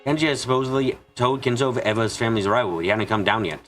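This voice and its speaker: A man's voice: mid-range voice, very fast, a bit bright, faint music behind. A man reading a visual novel aloud as dramatic scripted narration on a stream.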